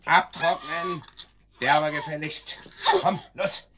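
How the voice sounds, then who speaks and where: A man's voice: fairly high pitch, fairly even intonation, takes it slowly, a crowd in the background. An actor playing a sadistic, cruelly playful kidnapper in a radio drama, mocking and menacing as he talks. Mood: sneering threat. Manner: mocking, menacing, chuckling, taunting.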